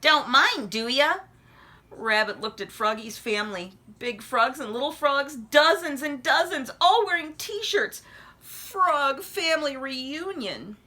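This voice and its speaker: A woman reading a children's story aloud as an expressive narrator. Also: sits high, a little slow. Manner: animated, addresses young listeners with questions.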